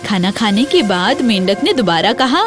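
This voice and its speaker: A woman narrating a scripted children's fairy tale in an expressive, dramatic storytelling manner. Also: medium pitch, moderate pace, music in the background.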